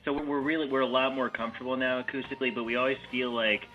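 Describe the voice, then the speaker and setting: A low-voiced man musician being interviewed, easygoing and agreeable in casual chat. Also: steady tone, racing, highs cut off, faint music behind. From New York. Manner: easygoing, agreeable, says yeah often. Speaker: musician in a duo, guitar.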